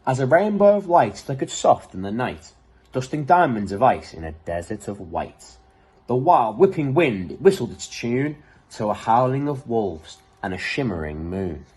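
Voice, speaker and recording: A man reading a children's picture book aloud in an expressive, dramatic way. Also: mid-range voice, moderate pace, breathy edge. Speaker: storybook reader.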